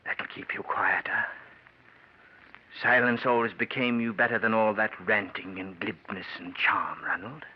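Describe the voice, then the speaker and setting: An actor playing a scheming, bitter stage actor in an old radio drama, speaking with measured, falsely polite menace. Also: male voice, on the low side, steady tone, close to an everyday voice. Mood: cold gloating. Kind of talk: 1940s-50s radio drama acting. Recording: muffled, noisy vintage radio recording.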